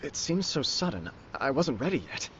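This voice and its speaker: An actor playing a gentle, earnest young nobleman in a video game, speaking politely and sincerely. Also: a little high, sing-song, normal speed, crowd noise. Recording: slightly noisy, muffled game stream capture.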